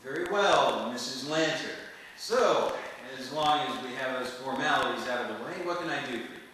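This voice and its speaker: An actor playing a wry, smooth-talking hardboiled private detective in the manner of an old radio serial. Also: male voice, medium pitch, moderate pace, pushed and bright, lightly acted. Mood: smooth, courteous. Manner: wry, flirtatious, world-weary patter. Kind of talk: 1940s detective radio serial acting, noir narration. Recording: roomy distant hall recording, faint noise.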